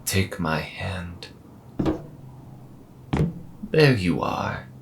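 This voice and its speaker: A man playing a friendly, calm robot mechanic with dry humour and a measured, gently wry delivery in an audio roleplay. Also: low-pitched, creaky, background noise, full character voice. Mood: calm, reassuring. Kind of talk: slow intimate audio roleplay acting.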